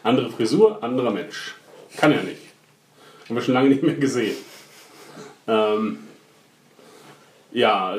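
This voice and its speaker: A man's voice: mid-range voice, darkish tone. A man recapping a TV episode in casual chat, wry and ironic, retelling scenes in mock quotes. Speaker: podcast co-host. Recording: echoey room with faint noise.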